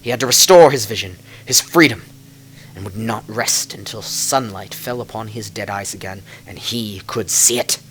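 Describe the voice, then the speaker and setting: A man reading a dark tale as a dramatic narrator, with theatrical, deliberate emphasis and music behind him. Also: fairly low pitch, low-quality recording. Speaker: narrator reading lore books.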